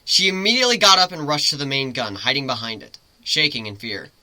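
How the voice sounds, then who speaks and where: A man's voice: medium pitch, animated, quick pace, bright, forceful tone, no room sound, rough recording. A man giving a dramatic first-person reading of a fantasy story as its narrator. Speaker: story reader.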